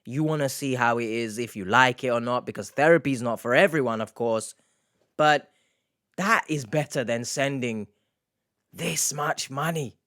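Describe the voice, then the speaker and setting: A man hosting a reaction video, talking through a clip with animated, exasperated commentary that mixes sarcasm and sympathy. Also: medium pitch, moderate pace, no echo, studio quality. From England. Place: indoor room under lights.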